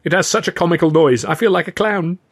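A vain film comedian shows off his success, as a male narrator plays him. He is boastful and theatrical, pushing his words with emphasis, and pitched a little above the storyteller in a close, dry audiobook recording. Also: quick pace, husky, no room sound. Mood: pleased, expansive.

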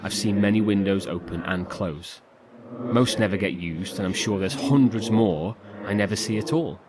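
An actor playing a weary, frightened survivor trapped in darkness, delivering a halting, confiding monologue in a horror audio drama. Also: male voice, natural voice. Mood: reluctant, grave. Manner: halting, confiding, with sighs and swearing. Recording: clearly noisy, rumbling ambience.

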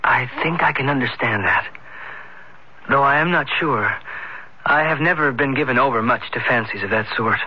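An actor playing a practical, gently concerned friend, reasoning in a measured American radio drama style. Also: male voice, medium pitch, slightly rough, lightly acted. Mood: reasonable, encouraging. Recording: muffled vintage radio drama recording.

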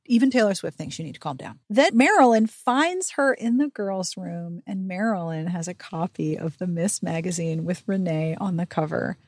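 A woman hosting a podcast, giving a chatty book recap with animated, sarcastic and sweary commentary. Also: mid-range voice, no room sound, studio quality. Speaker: podcast host.

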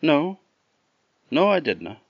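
A man performs a plucky young lad from a folk tale with a Scottish accent. He sounds good-natured and plain-spoken, with a very mobile pitch, recorded dry and a little dull. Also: sing-song, slow, creaky, no echo, exaggerated voice. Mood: stubborn.

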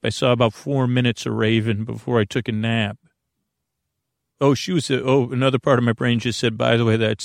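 A man with a creaky voice hosting a bedtime podcast, rambling in a lulling, halting way and often interrupting himself as he drifts into tangents. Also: medium pitch, dry recording, studio quality. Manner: meandering, halting, digressive, self-interrupting; soothing, lulling. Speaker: podcast host.

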